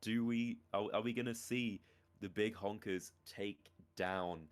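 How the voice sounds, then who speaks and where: A man hosting an esports tournament chats with a player after the match, joking at his own expense and keeping things light. His voice sits a little low and easygoing. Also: fairly even intonation, unhurried, clear, resonant tone. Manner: chatty, self-deprecating and joking. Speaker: esports caster and interviewer. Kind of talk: casual esports interview.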